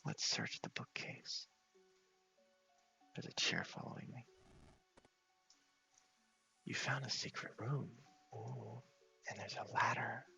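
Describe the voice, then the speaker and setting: A man giving soft, half-whispered ASMR commentary on a game playthrough, murmuring to himself and reading text aloud in an unhurried way. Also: medium pitch, steady tone, creaky, faint music behind, poor audio. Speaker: game streamer, video host.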